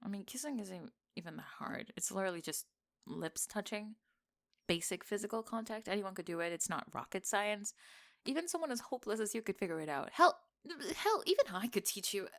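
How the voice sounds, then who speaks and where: A woman's voice: mid-range voice, a little fast, natural voice. Woman acting sarcastic, teasing young tomboy friend. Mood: flustered, defensive. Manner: sarcastic, teasing, expressive with sighs and laughs. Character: teasing, sarcastic college-age tomboy gamer friend, flustered underneath. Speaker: ASMR roleplay voice actor. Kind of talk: acted ASMR roleplay, one-sided conversation.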